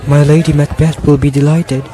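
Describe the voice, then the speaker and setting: A man's voice: a little high, little pitch movement, brisk, dark tone, a faint crowd, close to an everyday voice. A young man playing a scheming, ambitious nobleman. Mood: courteous. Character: ambitious scheming male noble, courteous on the surface. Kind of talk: student radio drama acting.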